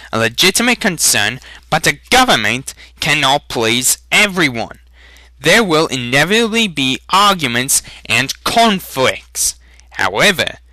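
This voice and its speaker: Man playing a condescending, sharp-voiced thin traitor. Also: mid-range voice, dry recording, voice pushed up, heavily acted, rough recording. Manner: smooth, reasoning, condescending.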